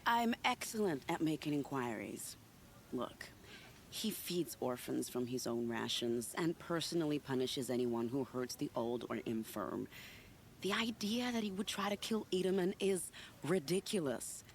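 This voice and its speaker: A woman's voice: sits low, animated, unhurried, slight background noise. An actress playing a sly, flirtatious female spy in a game, teasing and confiding in a playful tone. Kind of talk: video game cutscene acting.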